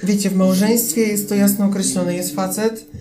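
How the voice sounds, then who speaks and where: A man's voice: sits high, moderate pace. Guy on a podcast being sarcastic and jokey, guitar music playing under him. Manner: ironic, joking, lively. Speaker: podcast guest expert. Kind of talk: casual podcast chat.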